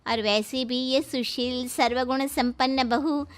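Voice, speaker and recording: A woman's voice: high-pitched, fairly even intonation, slow. A woman voicing a bossy, whiny old mother-in-law in a cartoon, scolding and wheedling melodramatically. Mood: scolding, self-pitying. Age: older.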